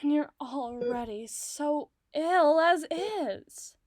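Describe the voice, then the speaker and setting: A woman playing an obsessive, doting doctor in an ASMR roleplay, speaking softly and articulately with theatrical tenderness. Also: sits high, expressive, drawn-out words, no room sound, studio quality, heavily acted. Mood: concerned, coaxing. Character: obsessive, doting yandere woman doctor, soothing but possessive. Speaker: audio roleplay voice performer.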